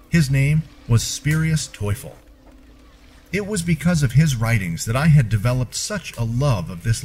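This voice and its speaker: A man's voice: mid-range voice, darkish tone, a little hiss or hum. A man narrating a first-person story from a script, with formal, genteel storyteller phrasing. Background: music.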